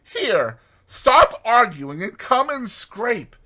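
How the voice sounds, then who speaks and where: Male narrator as excitable storybook rat, shrill pitch, swooping intonation, bossy, impatient, emphatic. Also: extremely slow, full character voice. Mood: exasperated. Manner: exclamatory, scolding, emphatic.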